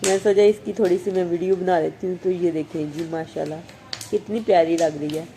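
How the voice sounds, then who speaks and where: A woman from Pakistan with a Pakistani Urdu accent, vlogging her daily routine at home, chatting softly with a hoarse voice from a sore throat. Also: on the low side, fairly even intonation, a little fast, slight background noise. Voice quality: soft, chatty, full of blessings and "ji".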